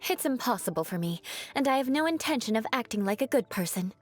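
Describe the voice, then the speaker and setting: An aloof highborn lady knight trading teasing barbs in a fantasy game, voice swooping, faint music behind her. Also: animated, fast talker. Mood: bitter, aloof. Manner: haughty, formal, teasing. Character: proud young noblewoman knight, aloof, teasing, mock-vengeful. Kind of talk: video game voice acting.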